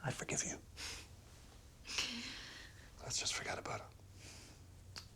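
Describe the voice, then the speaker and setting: A man playing a manipulative, dismissive boyfriend in a dark comedy film, with a husky voice and a casual, condescending way of talking. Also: takes it slowly, whispered. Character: manipulative, dismissive boyfriend, smoothly guilt-tripping.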